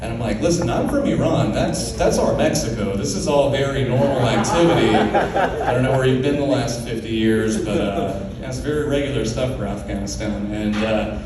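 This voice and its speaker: A man's voice: medium pitch, moderate pace, background noise. Laid-back male comic in echoey club. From Oklahoma, USA. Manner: laid-back, filler-heavy delivery with lots of "man" and "uh". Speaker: stand-up comedian. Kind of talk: stand-up comedy set. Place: comedy club stage. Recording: very echoey club room from far off. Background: audience laughter.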